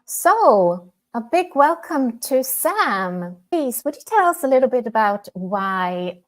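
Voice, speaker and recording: Lively-pitched woman podcast host. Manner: measured, reads a prepared intro. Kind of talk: scripted podcast introduction and interview.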